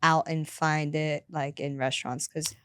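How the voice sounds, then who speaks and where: A woman hosting a podcast, chatting in a relaxed way with many fillers. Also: deep voice, unhurried, clean tone, no echo. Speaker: podcast host. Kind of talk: casual interview chat.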